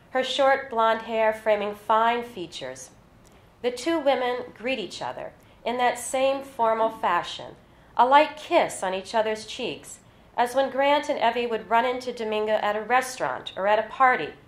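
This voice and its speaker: A woman reading her own literary short story aloud at a live indoor event. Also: fairly high pitch. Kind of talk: literary reading of scripted fiction to an audience. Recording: roomy, echoey live event recording.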